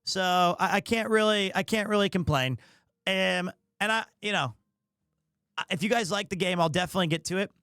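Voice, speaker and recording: A man's voice: high-pitched, fairly quick, pushed and bright, clear, resonant tone, dry recording, clean studio sound. A man hosting a livestream, chatting casually in a rambling, opinionated and playfully defensive way. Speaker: live streamer, host of the show. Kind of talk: casual livestream chat with viewers.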